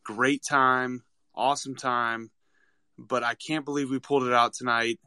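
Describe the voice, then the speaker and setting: A man's voice: mid-range voice, flat delivery, measured pace, sharp tone, clean tone, dry recording, clean studio sound. A man in his thirties calling in to chat casually about sports. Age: 30s. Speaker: caller to a sports podcast.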